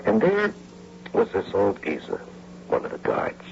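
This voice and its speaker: A man's voice: low voice, wildly swooping pitch, measured pace, creaky, exaggerated voice. An actor playing a big, touchy unemployed husband in a radio drama, brooding and emphatic as he speaks and narrates. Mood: reflective, brooding. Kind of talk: old-time radio drama acting and first-person narration. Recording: noisy dull vintage radio broadcast recording.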